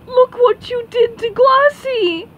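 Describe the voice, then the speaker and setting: A woman's voice: very high-pitched, takes it slowly, a bit bright, slight background noise, heavily acted. A woman voicing a sly, peevish male squid neighbor in a hobby cartoon dub, sardonic and melodramatic. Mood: furious.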